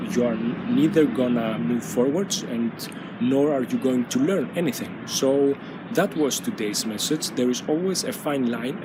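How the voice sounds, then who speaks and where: A man talks straight to his audience in an earnest, measured way, pausing often and filling gaps with small hesitations. His voice sits at an ordinary middle pitch. He is recorded outdoors, with loud car traffic rumbling close behind him. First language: Greek. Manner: earnest and measured, with frequent pauses and fillers. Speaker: channel host, stuttering coach. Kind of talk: motivational talk to camera.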